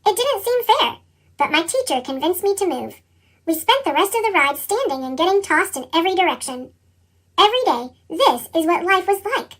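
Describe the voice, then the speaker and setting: A reader with a squeaky, pitched-up chipmunk effect, narrating a children's picture book and acting out the dialogue expressively. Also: moderate pace, exaggerated voice. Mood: earnest, determined. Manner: expressive storytelling, acts out dialogue.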